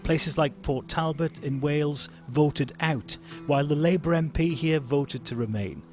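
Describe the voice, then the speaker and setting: Male reporter voiceover, grave and measured, soft music bed. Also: on the high side. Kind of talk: scripted news narration.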